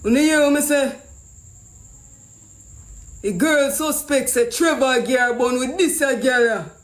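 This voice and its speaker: A Jamaican man hosting a prank call show, commenting excitedly in Patois, swearing and repeating his phrases for emphasis. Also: very high-pitched, a little slow. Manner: exclamatory, repeats himself, swears.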